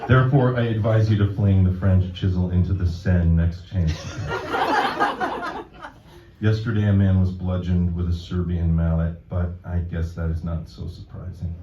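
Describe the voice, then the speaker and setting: A man with a very low voice reads poetry at a live event. His delivery is deadpan and measured, and the sound is echoey, picked up from well back in the room. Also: noisy background. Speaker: poet reading own work. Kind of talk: live poetry reading with informal introduction. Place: live reading event before an audience. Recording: dull, roomy, distant event recording.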